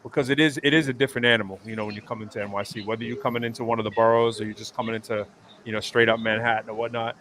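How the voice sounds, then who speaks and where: A man's voice: medium pitch, fairly even intonation, very fast, a crowd in the background. A man hosts a casual live stream from a hotel stay, winding through stories. He keeps restarting his sentences and filling the gaps with "you know" and "um" in a mid-range voice. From United States East Coast. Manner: rambling storyteller with many fillers and restarts. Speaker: show host. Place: hotel area in New York City.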